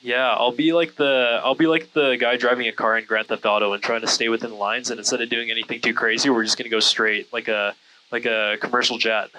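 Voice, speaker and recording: A man's voice: medium pitch, flat delivery, a little fast, a crowd in the background, rough recording. A man telling stories casually in a rambling, self-deprecating way, with lots of "like". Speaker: guest, content creator. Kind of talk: casual storytelling chat. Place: small airplane cockpit in flight.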